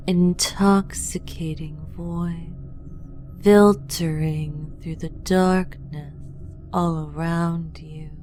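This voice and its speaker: A woman narrating a scripted guided meditation in a hushed, deliberate, incantatory way. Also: on the low side, steady tone, soft background music.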